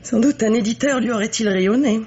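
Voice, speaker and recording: Husky, composed middle-aged widow. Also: female voice, on the high side, fairly quick, darkish tone. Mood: measured, disdainful. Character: composed, coolly bitter middle-aged widow of a wealthy financier. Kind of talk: French radio mystery play acting. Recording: dull, lo-fi vintage radio recording.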